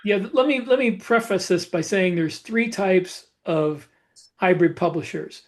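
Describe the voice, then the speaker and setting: A man's voice: high voice, a little slow. A man co-hosting a podcast, explaining things casually in a blunt, opinionated way. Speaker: podcast co-host.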